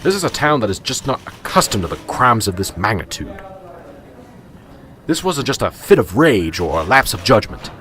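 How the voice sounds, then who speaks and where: A man playing a gruff, stressed, exasperated police sergeant in a radio drama, ranting in folksy mild oaths. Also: fairly low pitch, very animated, moderate pace, fairly bright tone. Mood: grimly determined.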